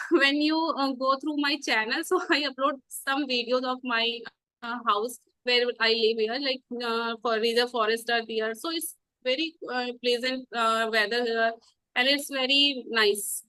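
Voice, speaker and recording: A North Indian woman in her thirties, a native Hindi speaker, chatting in halting, giggly learner's English in an interview. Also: high-pitched, steady tone, bright, forceful tone, dry recording, low-quality recording. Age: 30s. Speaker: guest, English-learning YouTuber. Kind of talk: casual conversational interview.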